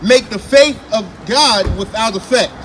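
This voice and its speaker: A man slowly and deliberately reading Bible verses aloud outdoors on a city street. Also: high voice, a bit bright. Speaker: scripture reader. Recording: street noise and passing traffic.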